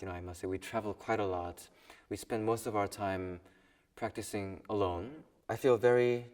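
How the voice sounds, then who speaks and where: A young man in his twenties from South Korea, a violin student, speaking thoughtfully with pauses in an interview. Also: fairly low pitch, in a large hall, recorded from a distance. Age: 20s. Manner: thoughtful, soft-spoken with pauses and small laughs. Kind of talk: personal documentary interview.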